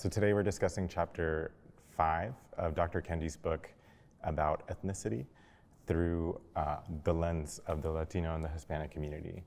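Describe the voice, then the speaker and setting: Very deep, flat-voiced male host. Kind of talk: hosting a discussion.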